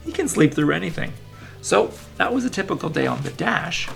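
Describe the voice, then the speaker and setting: A man's voice: a little high, big pitch swings, a little fast, vocal fry, a bit bright, faint music behind. A man reading a children's story aloud in a playful, enthusiastic way, with casual asides to young listeners. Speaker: children's book reader.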